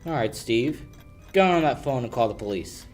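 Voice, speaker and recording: A young man plays a hard-boiled radio-play lawyer with a medium-pitched voice. He is wry, suspicious and challenging, with faint music underneath. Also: animated, normal speed, natural voice. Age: young adult. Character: tough wisecracking male lawyer, suspicious and dry. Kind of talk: 1940s radio play acting.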